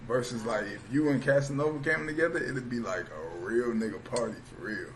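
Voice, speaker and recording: A man hosting an interview, asking loose, rambling questions in relaxed slang. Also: medium pitch, brisk, slight background noise. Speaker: interviewer, host.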